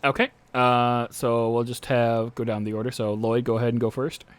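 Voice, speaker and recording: A man's voice: medium pitch, little pitch movement, moderate pace, clear, resonant tone. A young man narrating as dungeon master, hesitant, with frequent uh and restarts. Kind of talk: narrating a tabletop game.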